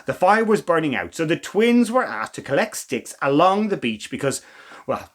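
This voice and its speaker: A man reading a children's story as a storytime host, with expressive, theatrical emphasis and asides to the listeners. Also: a little high, moderate pace. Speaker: storytime reader.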